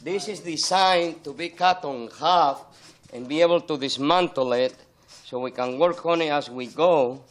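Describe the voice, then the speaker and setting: A man's voice: a little high, measured pace. An older man from Spain with a Spanish accent, explaining his work as a sculptor in an interview. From Spain, born in Andalusia, grew up in Madrid.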